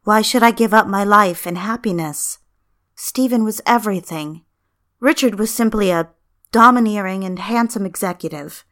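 A woman's voice: mid-range voice, moderate pace, fairly bright tone. A woman narrating a dramatic story in the first person, speaking confidingly and directly to the reader. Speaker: narrator and lead character.

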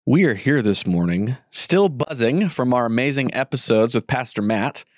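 A man's voice: medium pitch, animated, moderate pace, no room sound, clean studio sound. A congested man hosting a casual podcast, rambling with fillers and restarts. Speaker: podcast host.

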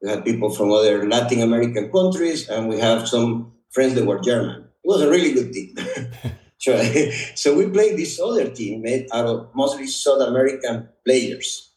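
A man's voice: mid-range voice, expressive, a little mellow. Echoey, distant Honduran-accented man telling stories. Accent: Honduran Spanish. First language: Spanish. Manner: halting, reflective, often repeats "you know". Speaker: podcast guest. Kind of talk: personal storytelling in conversation. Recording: roomy echo, distant microphone.

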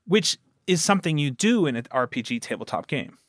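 A man's voice: a little high, big pitch swings, a little fast, fairly bright tone, no echo, clean studio sound. A man speaking as a producer and guest in a conversational podcast interview, earnest and emphatic as he explains and recounts. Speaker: audio drama producer and guest.

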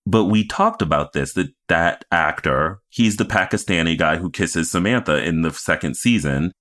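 A man's voice: low voice, normal speed, fairly bright tone. A man co-hosting a podcast, chatting casually and analytically about television with emphatic opinions. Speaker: podcast co-host. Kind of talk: casual TV recap chat.

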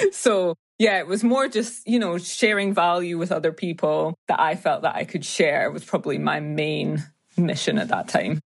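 A woman's voice: lowish voice, normal speed. A woman in her thirties from Scotland with a Scottish accent, chatting casually as an interview guest, full of fillers and light laughter. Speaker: guest, YouTube creator.

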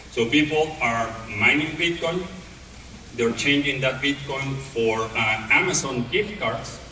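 A man with a non-native English accent giving a talk to an audience, speaking haltingly with many pauses and restarts. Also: mid-range voice, pushed and bright, a little hiss or hum. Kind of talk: presentation to an audience. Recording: echoey hall, voice from far off.

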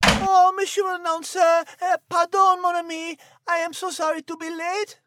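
A woman's voice: very high voice, little pitch movement, moderate pace, a bit bright, no room sound, clean studio sound. A woman playing a vain, elegant French cat with a French accent and a dainty, prim manner in a comedy podcast. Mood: apologetic. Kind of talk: comic audio character acting.

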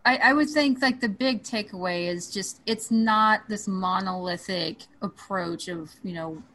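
A woman's voice: medium pitch, unhurried, fairly bright tone. A woman in a casual group conversation who talks thoughtfully and keeps circling back to her main point.

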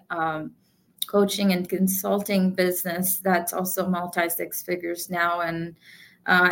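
A woman from India, a business coach, speaking as a guest in an interview in an earnest, positive way. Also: medium pitch. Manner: earnest, positive, many fillers. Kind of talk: casual interview.

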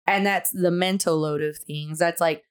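A woman's voice: lowish voice, steady tone, normal speed, clean tone. A woman in casual podcast chat, slangy and emphatic, often acting out thoughts as she explains a point. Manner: slangy, emphatic, explains through imagined self-talk. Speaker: podcast co-host.

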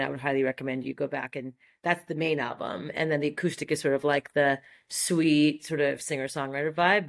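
A woman in her forties from Montreal, a native French speaker, talking enthusiastically as an interview guest with many fillers and restarts. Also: a bass voice. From Montreal, Canada. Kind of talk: casual interview conversation.

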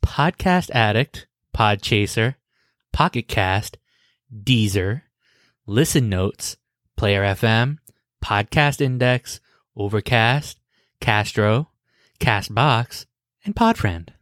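A man co-hosting a casual wrestling podcast, relaxed and agreeable, chatting with his co-host. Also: fairly low pitch, takes it slowly, pauses often, no echo, studio quality. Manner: relaxed, agreeable, reads lists of services evenly.

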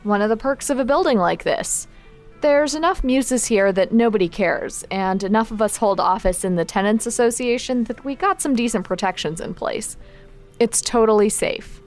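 A woman's voice: on the high side, normal speed, faint music behind, lightly acted. An actress playing a confident, supportive young woman in an audio drama, talking in a casual, frank, dry way. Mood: wry, slightly embarrassed. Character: confident, wry, supportive young woman training her friend. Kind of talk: naturalistic audio drama acting.

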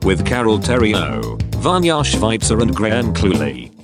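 A man reads a podcast intro in a measured announcing manner. His voice is on the low side with a lilting melody. Loud plucked guitar theme music plays under him. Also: wildly swooping pitch. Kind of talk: scripted intro voice-over.

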